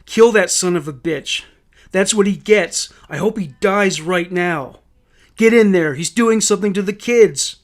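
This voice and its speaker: A man voicing an enraged, foul-mouthed male relative, shouting insistently in a narrated true story. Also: high voice, measured pace, voice pushed up.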